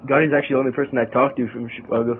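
A man's voice: mid-range voice, flat delivery. A young man chatting casually while playing an online game, explaining a glitch to his friends over headset voice chat. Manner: fast, bossy, explaining a glitch. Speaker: gamer. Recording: tinny phone-like voice chat with faint noise.

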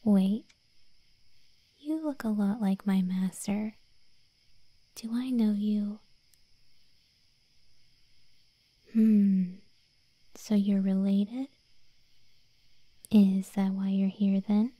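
A woman playing a protective gargoyle monster girl in an ASMR roleplay, speaking softly and breathily, close to the microphone. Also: medium pitch, steady tone, slow pace, long pauses, dry recording. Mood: surprised, apologetic. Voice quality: soft, breathy, whispery. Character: protective gargoyle monster girl guard, stern then sweetly deferential. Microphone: close microphone, dry.

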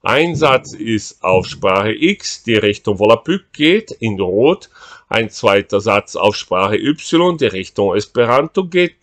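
A man reads his own writing in an unhurried, deliberate way. His delivery is drily humorous and measured, at a middling pitch. He is recorded on a webcam at a desk in a room at home. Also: a bit bright. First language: Portuguese. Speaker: author reading his own text. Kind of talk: reading aloud, switching between languages.

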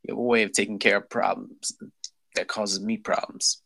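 A man's voice: lowish voice, little pitch movement, normal speed, creaky, bright, forceful tone, full character voice, rough recording. A man playing a suave, menacing police lieutenant in his forties, speaking with smug, insinuating deliberation in a script read. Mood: veiled threat.

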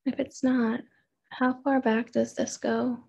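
A woman therapist leading a guided meditation in a gentle, unhurried manner, with pauses and open questions. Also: fairly high pitch, little pitch movement, gravelly, breathy edge.

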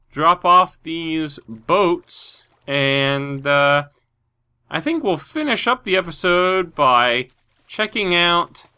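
A man's voice: a little high, pushed and bright, smooth. A man with a stuffy nose from a cold, rambling through casual Minecraft commentary with many fillers. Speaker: Minecraft video host.

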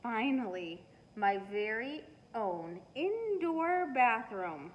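A woman voicing an eager, goofy young male puppy with drawn-out, enthusiastic lines in a storybook. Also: on the high side, very animated, big-room sound, muffled recording. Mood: eager, delighted.

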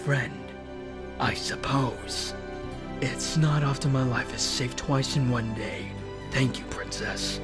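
A man's voice: mid-range voice, slow pace, natural voice. A man acts out a stoic hedgehog antihero for a comic dub. He speaks in a medium register, serious and formal, with earnest gravity and music playing behind. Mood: reserved. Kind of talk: scripted comic dub acting.

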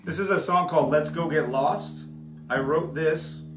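A man in his thirties, a singer-songwriter, talking about his songs in a relaxed, soft-spoken way with many fillers, recorded on a phone at home. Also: fairly high pitch, a little slow, reverberant. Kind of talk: live acoustic performance with spoken song introductions. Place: home room indoors. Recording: loud acoustic guitar under the voice. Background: his own acoustic guitar.

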